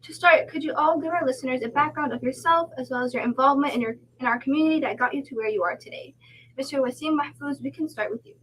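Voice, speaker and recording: A young woman hosts a student podcast and reads her introductions in a polished, formal way. Her voice is high and she speaks fast. A faint hiss sits under the recording. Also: a bit bright, low-quality recording. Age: young adult. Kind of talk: scripted show introduction.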